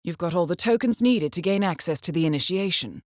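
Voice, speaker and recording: A woman plays a composed, middle-aged spy handler briefing an assassin in a video game. Her voice sits fairly low and moves expressively through each phrase, formal and dryly understated. The recording is close and dry, with no room sound. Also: animated, brisk, no room sound, close to an everyday voice. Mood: measured, businesslike. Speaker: mission handler. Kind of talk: scripted game voice acting, mission briefing.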